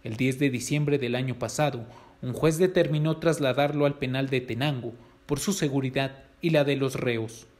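A man's voice: medium pitch, fairly even intonation, normal speed, a little mellow. A man with a Mexican accent reading scripted news narration.

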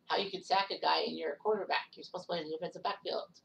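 Gravelly low-voiced woman, rambling narration. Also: fairly even intonation, racing, a bit bright, distant mic, a little hiss or hum, rough recording. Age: 30s. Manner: rambling, with offhand asides and self-corrections. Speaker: sports history narrator. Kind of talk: casual narrated sports history.

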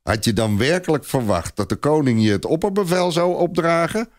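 A man's voice: on the high side, expressive, fairly quick, no room sound, studio quality, pitched above the performer's own voice, lightly acted. A man voicing a soothing, matter-of-fact male adviser to a knight in an audio story. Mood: soothing, sober.

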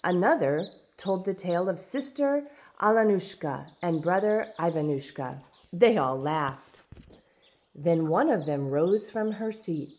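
A woman reading a storybook aloud in expressive, deliberate, dramatic narration. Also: on the low side. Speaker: storybook reader. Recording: background noise with birdsong.

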